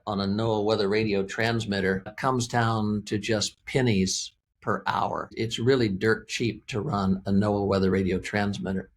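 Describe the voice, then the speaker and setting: A man's voice: lowish voice, slight background noise. An American man explaining things as an interview guest, in an emphatic, folksy way with plain wording and repeated key phrases. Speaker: interview guest, weather radio company representative.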